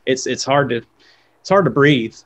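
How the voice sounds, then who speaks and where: A man's voice: mid-range voice, expressive, moderate pace, fairly bright tone. A man in his thirties, a guest guitarist, talking casually on a video call with many fillers and restarts.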